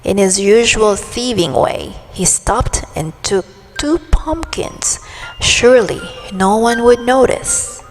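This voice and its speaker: Slow-paced woman reading a storybook. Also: fairly high pitch, expressive, faint music behind, low-quality recording. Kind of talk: children's storybook read-aloud narration.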